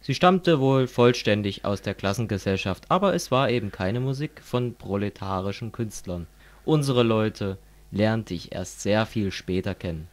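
A middle-aged man from East Germany in a scripted political dialogue, explaining things in a measured way. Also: fairly low pitch. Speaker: dialogue partner in a political audio piece.

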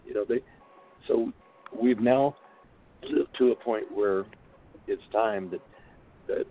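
A man guest on a talk show, losing his voice and speaking haltingly with many fillers. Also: on the low side, narrow, tinny audio, a little hiss or hum. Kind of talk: casual talk show chat.

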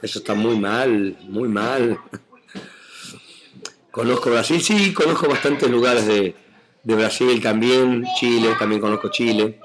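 A man with a blend of Argentine and Mexican Spanish accents talks casually at home on a live webcam stream. He rambles warmly through greetings, with frequent fillers and little laughs. Faint background noise and clicks sit under his mid-range voice. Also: medium pitch, takes it slowly. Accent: Argentine Spanish mixed with Mexican Spanish. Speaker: actor doing a live webcam chat. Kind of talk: casual live-stream chat reading viewer comments.

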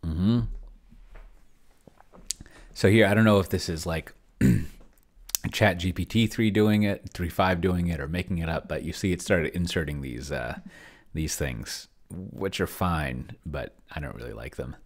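A man's voice: low voice, a little slow. A middle-aged man thinking aloud in casual commentary as he plays a solo game live, muttering to himself while typing at his computer. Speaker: solo roleplaying player and show host. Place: indoor room at a computer. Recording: keyboard typing and clicking noise.

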